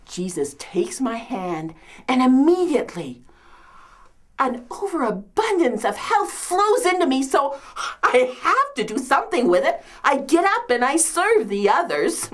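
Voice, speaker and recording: A woman's voice: high voice, very animated, a little slow. A woman playing a complaining, martyred old mother-in-law from biblical times in a comedic monologue, with a New York accent and theatrical delivery. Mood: dramatic recollection. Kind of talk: comedic dramatic monologue, performed.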